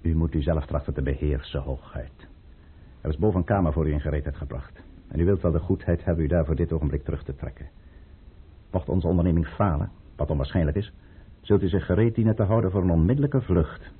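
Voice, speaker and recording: Deep, husky, commanding count. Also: male voice, exaggerated voice. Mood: cool, firm. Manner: formal, deliberate, authoritative. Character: commanding, coolly calculating count, a conspirator against the tsar. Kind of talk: radio drama acting. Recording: muffled old radio play recording.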